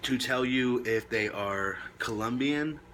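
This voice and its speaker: A man presenting reptile care tips in a casual, laid-back way with short emphatic phrases, now and then coughing or clearing his throat. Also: medium pitch, slow pace, a bit bright, a little hiss or hum. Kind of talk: casual informal talk to camera.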